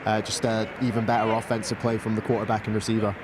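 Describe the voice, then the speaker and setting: A Scottish man giving relaxed, analytical colour commentary on a live football game. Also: on the low side, fast, background noise. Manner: relaxed analytical chat, self-deprecating.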